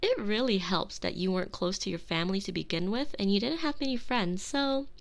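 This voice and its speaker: A woman's voice: medium pitch, animated, vocal fry. A woman playing an obsessive, cheerful female kidnapper in an ASMR roleplay, doting and menacing, talking with syrupy affection and condescension close to a binaural mic. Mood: smug, possessive. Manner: saccharine, slow, affectionate, condescending. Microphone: close binaural microphone.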